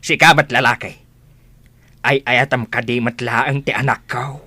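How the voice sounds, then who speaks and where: A woman's voice: extremely low pitch, fairly even intonation, a little slow, bright, forceful tone, husky, exaggerated voice. A woman playing a hot-tempered village father in a comedy radio drama, scolding and exclaiming. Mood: stern, demanding. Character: gruff, hot-tempered village father guarding his pregnant daughter.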